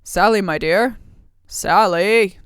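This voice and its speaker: A woman voicing a greedy, gruff man who eats children, speaking in an old-fashioned country dialect. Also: on the low side, expressive, normal speed, no echo, studio quality. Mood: calling.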